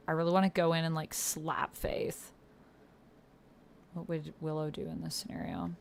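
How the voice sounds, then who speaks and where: Expressive woman livestreamer with a lowish voice. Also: measured pace, gravelly, a little hiss or hum. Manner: slangy, expressive, thinking aloud. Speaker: YouTuber livestreaming a text roleplay game. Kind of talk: casual livestream chat, reading and reacting. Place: indoors at a computer.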